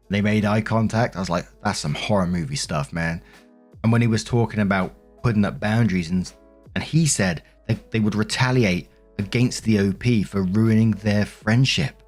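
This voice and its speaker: Man, low voice, story narration, informal host delivery, conversational, music underneath. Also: a little mellow. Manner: informal, conversational, swears freely. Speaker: narrator and host of a Reddit stories show. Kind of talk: reading online stories aloud with casual commentary.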